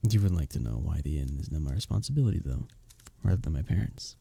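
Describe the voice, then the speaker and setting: Guy doing a soft medieval innkeeper man, low gravelly voice, formal and fond, asmr roleplay up close. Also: lively intonation, full character voice. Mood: warm, shy. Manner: formal old-world phrasing, soft-spoken, measured. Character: gentle, flattered medieval village innkeeper man, fond and earnest. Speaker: audio roleplay performer. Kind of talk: ASMR roleplay, intimate one-sided conversation.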